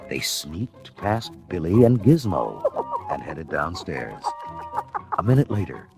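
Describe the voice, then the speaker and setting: Male storybook narrator: low voice, hugely expressive dramatic reading, music bed underneath.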